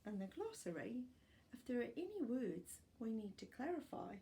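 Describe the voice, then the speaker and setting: A middle-aged woman reading aloud to young children as a storytime host, expressive and explanatory, with small asides. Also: a little high, normal speed, slight background noise. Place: living room at home.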